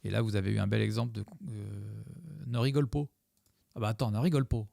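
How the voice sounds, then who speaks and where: A man's voice: lowish voice, fast talker, gravelly, mellow, no room sound, clean studio sound. A man streaming live, commenting on his own gameplay video with a chat, rambling and joking as he thanks his followers.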